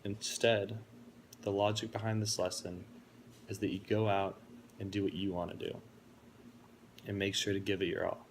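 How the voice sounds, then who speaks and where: Low, flat-voiced young man giving a speech. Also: moderate pace, long pauses, a little hiss or hum. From Florida, United States. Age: 20s. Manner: earnest, steady, reflective. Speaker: college student giving a speech. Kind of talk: prepared speech of introduction.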